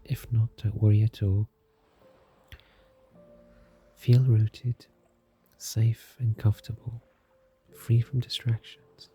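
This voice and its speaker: A man leads a guided meditation in a soft, soothing, scripted narration, calm and gentle, leaving long unhurried pauses. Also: lowish voice, muffled recording. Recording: music behind the voice. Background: soft music.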